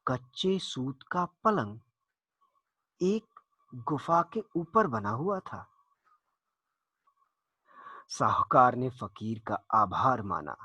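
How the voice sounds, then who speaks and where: A man narrating a folktale for children in an expressive, deliberate storytelling manner with dramatic pauses. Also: medium pitch, slightly rough, no room sound.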